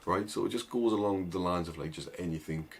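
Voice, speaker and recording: Northern english guy rambling fast, lots of likes and rights, bit of background hiss. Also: low voice. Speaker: agency owner vlogger. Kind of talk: casual advice talk to camera.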